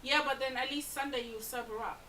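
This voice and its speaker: A woman's voice: sits high, steady tone, a little fast, sharp tone, echoey room. A young woman, chatting bluntly and joking with friends on a podcast. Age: 20s. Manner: blunt, joking, laid-back. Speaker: podcast co-host. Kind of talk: casual chat among friends. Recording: distant mic with hiss.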